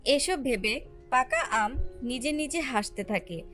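Bright, high-voiced woman storyteller. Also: expressive, slow. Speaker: narrator. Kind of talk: scripted children's story narration. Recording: music under the voice.

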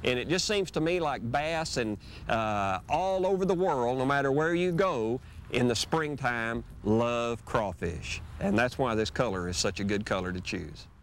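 A man's voice: medium pitch, expressive, measured pace, slight background noise. A middle-aged man, hosting a fishing show outdoors by a lake. He explains fishing techniques in an easygoing, folksy way. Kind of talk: instructional fishing demonstration. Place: outdoors on a lake, on a boat and on the shore.

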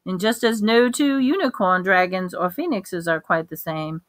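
A woman reading aloud voices an intense, eccentric old male wandmaker with a lilting, drawn-out delivery and sudden sharp emphasis. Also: medium pitch, dry recording, studio quality, natural voice. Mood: eager.

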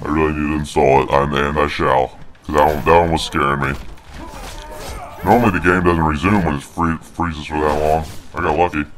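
A man voicing a huge, snarky monster with a growly voice, giving crude commentary on a video game. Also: steady tone. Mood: grumbling. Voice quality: deep growly put-on voice. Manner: slow, snarky, crude, exclaiming.

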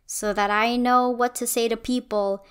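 A woman's voice: fairly high pitch, fairly even intonation, normal speed, fairly bright tone, smooth. Young woman from Indonesia, video essay voice-over, conversational and opinionated. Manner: conversational, opinionated, a bit wry. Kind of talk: scripted commentary voice-over.

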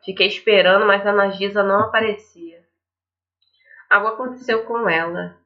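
A woman's voice: medium pitch, steady tone, moderate pace. A young woman with a Brazilian accent, reading a visual novel aloud and adding casual asides as a gaming host. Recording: dull lo-fi microphone, faint music. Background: faint game music.

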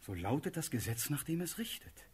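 An actor playing a proud, passionate young prince condemned to death, declaiming verse in a husky, rough voice in a radio play. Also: male voice, a little high, sing-song, darkish tone, full character voice. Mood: defiant, trusting. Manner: declamatory, impassioned, pausing for effect.

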